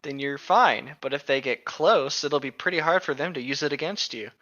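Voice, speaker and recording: A man's voice: on the high side, normal speed, fairly bright tone, dull audio. A young man in casual, joking conversation.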